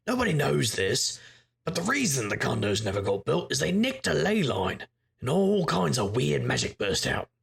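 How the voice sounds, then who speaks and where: An actor playing a world-weary, rueful magical investigator, narrating a noir audio drama in a gravelly, husky voice with wry delivery. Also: male voice, medium pitch, wildly swooping pitch, moderate pace, full character voice. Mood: wry nostalgia.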